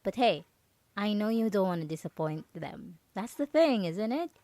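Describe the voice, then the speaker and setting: A woman playing a possessive yandere roommate in an ASMR roleplay, coaxing and halting, with nervous, feigned innocence and false sweetness. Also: medium pitch, big pitch swings, dark tone, dry recording, natural voice. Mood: needling sympathy. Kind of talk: ASMR roleplay audio drama.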